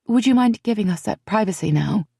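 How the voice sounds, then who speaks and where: A woman's voice: medium pitch, expressive, brisk, gravelly, dark tone, husky, no room sound, studio quality, voice pushed up. A woman voicing an earnest young woman who speaks firmly and reassuringly. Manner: earnest and firm.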